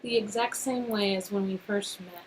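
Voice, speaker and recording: A woman's voice: medium pitch, normal speed, natural voice. Love interest for a romance game read by a woman: young, affectionate, hesitant, medium register, faintly noisy recording. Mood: tender. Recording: clear background noise.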